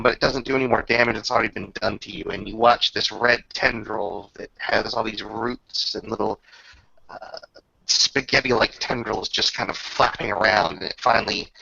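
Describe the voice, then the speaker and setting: A man acting as game master, improvising descriptions and rulings in casual, halting talk with a creaky voice. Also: on the low side, sharp tone, highs cut off. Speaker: game master running a tabletop roleplaying game.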